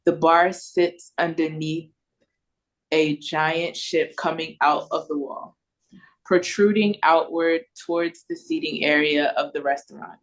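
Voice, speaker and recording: American woman, narrator, deep voice, slow pace, scripted audio play read-through. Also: steady tone, low-quality recording. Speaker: narrator reading stage directions. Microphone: video call.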